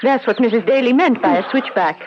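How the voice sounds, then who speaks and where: An actress playing a shy, wistful young Irish country lass in a radio drama. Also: female voice, fairly high pitch, a little fast, close to an everyday voice. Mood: curious, light. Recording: dull, noisy old radio recording.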